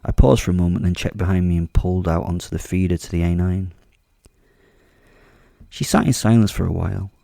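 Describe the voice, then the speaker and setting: Male horror narrator, very deep and gravelly, mellow tone, menacing and wry. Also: steady tone, fairly quick, long pauses, dry recording, studio quality. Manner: brooding, wry and menacing, with repeated stumbles. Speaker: host and narrator. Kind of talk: first-person horror audio drama narration.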